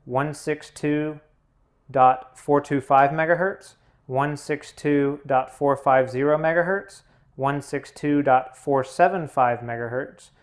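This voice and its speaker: A man hosting a tutorial, giving hands-on instructional narration in a measured, methodical way. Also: medium pitch. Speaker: tutorial host.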